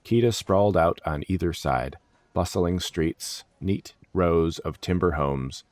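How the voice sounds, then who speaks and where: Very deep-voiced male fantasy narrator. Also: slow pace, darkish tone, dry recording. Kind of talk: scripted fantasy story narration.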